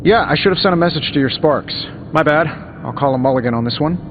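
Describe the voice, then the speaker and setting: An actor playing a wisecracking, easygoing wealthy superhero inventor in a video game, talking in a glib, wry, conversational way. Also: male voice, fairly high pitch, fast talker, lo-fi sound, noisy background, close to an everyday voice. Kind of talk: video game dialogue acting.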